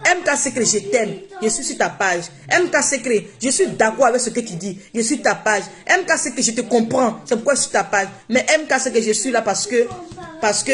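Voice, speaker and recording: A woman whose first language is not French, speaking emphatically and repeating herself in a casual live-stream monologue on her phone. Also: on the high side. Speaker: social media live streamer.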